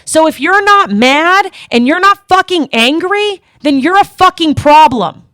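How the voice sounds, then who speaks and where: A woman hosting a live online panel, loud and forceful, swearing often and repeating herself. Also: very high-pitched, expressive, a little slow, dry recording, studio quality. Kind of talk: heated live-stream talk.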